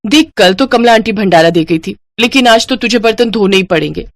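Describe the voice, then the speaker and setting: A woman voicing a bossy, quarrelsome elder sister-in-law with a sharp-tongued, sarcastic way of scolding, in a family story. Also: mid-range voice, a little fast, no echo, lightly acted.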